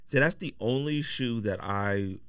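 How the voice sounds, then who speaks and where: A man's voice: mid-range voice, unhurried, fairly bright tone, clean tone, no echo, studio quality. A middle-aged American man hosting a podcast, chatting casually in a slangy, opinionated way. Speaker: podcast host. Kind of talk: casual chat about sneakers. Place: podcast studio.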